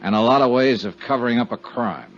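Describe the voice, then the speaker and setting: An American actor playing a tough, self-assured insurance investigator in a 1950s radio drama, with a clipped, matter-of-fact delivery. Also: male voice, medium pitch, fast talker, natural voice. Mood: matter-of-fact, insistent. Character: tough, sure-minded male insurance investigator hero. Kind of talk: 1950s radio detective drama acting with narration. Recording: muffled 1950s radio recording, music.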